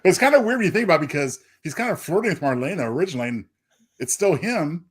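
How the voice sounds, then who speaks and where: A man's voice: on the high side, expressive, fast talker, slightly rough. A man co-hosting a casual podcast, explaining trivia in a joking, conversational way. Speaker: podcast co-host. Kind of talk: casual fan trivia chat.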